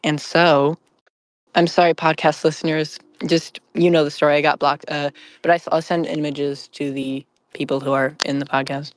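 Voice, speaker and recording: This is a podcast panelist in a loose group chat, with a medium-pitched voice. The delivery is halting and rambling, and the sound is dry and close. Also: little pitch movement, no room sound.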